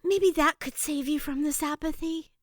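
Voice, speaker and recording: A woman's voice: sits high, moderate pace, no echo, clean studio sound, lightly acted. A woman playing a young, tender-hearted and spirited princess in an audiobook, talking to herself in an earnest, theatrical way. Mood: worried, pleading. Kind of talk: audiobook character acting.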